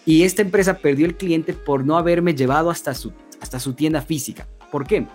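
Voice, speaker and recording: A man's voice: on the high side, brisk, darkish tone, faint music behind. A man with an Ecuadorian accent giving an informal sales lesson with role-played examples, often checking in with short tag questions. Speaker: sales and marketing trainer.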